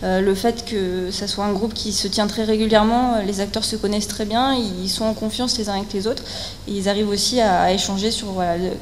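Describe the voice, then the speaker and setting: A woman giving a technical conference presentation as a researcher, speaking haltingly with frequent fillers and repeated words. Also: medium pitch, fairly even intonation. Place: indoor conference hall at a technical event. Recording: echoey hall, clear background noise.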